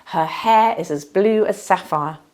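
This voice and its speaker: A woman's voice: medium pitch, unhurried, darkish tone, natural voice. A woman voicing chirpy, gushing townsfolk and small children in a children's storybook.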